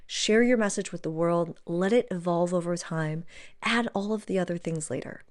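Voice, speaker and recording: Lower-pitched woman, casual podcast host. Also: normal speed, darkish tone. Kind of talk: casual podcast chat, addressing listeners.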